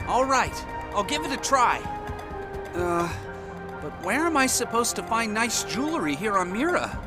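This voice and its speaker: A man's voice: sits high, very animated, a little slow. A man playing a timid, self-deprecating, lovesick young soldier in a video game scene. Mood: hopeful. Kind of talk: video game cutscene acting. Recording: music behind the voice.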